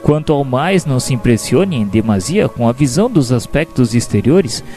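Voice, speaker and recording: Man playing humble spirit narrator, solemn heartfelt reading, normal pitch, religious radio drama w music behind. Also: lively intonation, normal speed, dark tone, lightly acted. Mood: solemn, reflective. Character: humble, emotional male spirit narrator and helper.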